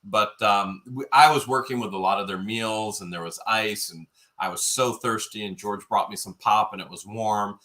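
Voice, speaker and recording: Bright-voiced man telling a story, a bit worn. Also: fairly low pitch, normal speed, few pauses. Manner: animated, jokey, quotes people in his stories. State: voice partly worn from overuse. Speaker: co-host of a livestream. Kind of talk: casual storytelling chat.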